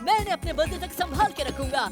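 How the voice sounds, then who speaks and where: A woman voicing an excitable, eager young boy hero in a children's cartoon dub. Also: very high-pitched, fast, music in the background. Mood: excited, chatty. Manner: eager, exclamatory.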